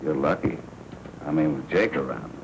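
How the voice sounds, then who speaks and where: An actor playing a sardonic, menacing American crime boss and jealous husband in a 1940s film noir. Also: male voice, extremely low pitch, moderate pace, creaky. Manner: sardonic, needling, tough slangy talk. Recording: noisy old 1940s film soundtrack.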